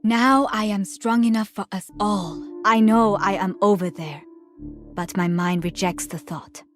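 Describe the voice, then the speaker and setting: A woman's voice: medium pitch, steady tone, measured pace, faint music behind, lightly acted. An actress voicing a serene woman healer with a solemn manner. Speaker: game character.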